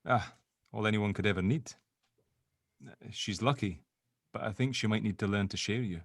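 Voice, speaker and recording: A man acting as a sardonic sceptic in an audio drama. He banters informally in a fairly low voice, recorded dry with little room sound. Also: moderate pace, no echo. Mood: bantering. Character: sardonic, sceptical spirit-dealing grave opener, gently joking. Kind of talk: audio drama table read.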